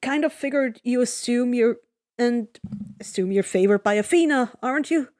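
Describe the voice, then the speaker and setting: A woman's voice: a little high, normal speed, darkish tone, dry recording, studio quality, lightly acted. A woman voicing a cheeky, upbeat teenage boy demigod who talks eagerly and jokes around, in a fan fiction reading. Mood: joking. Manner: eager, joking, chatty.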